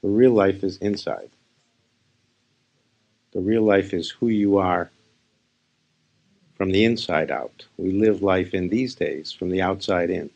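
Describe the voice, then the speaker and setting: Male meditation guide: low-pitched, croaky fry, unhurried phrasing with pauses, spiritual teacher delivery. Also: darkish tone, highs cut off. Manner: unhurried, pausing between short phrases. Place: live session.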